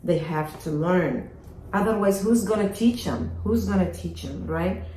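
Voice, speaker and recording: Middle-aged woman from Somalia hosting a kitchen vlog, slow and conversational, roomy sound. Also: fairly low pitch, dark tone, a little hiss or hum. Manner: chatty, asks viewers "right?". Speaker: home baker and farm vlogger. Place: home kitchen.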